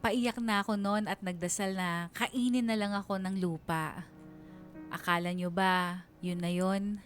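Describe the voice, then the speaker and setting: A woman's voice: medium pitch, fairly even intonation, a little slow, faint music behind, natural voice. A woman playing a bashful young Filipina who narrates her memories in a confiding, self-mocking way for a radio drama. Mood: embarrassed.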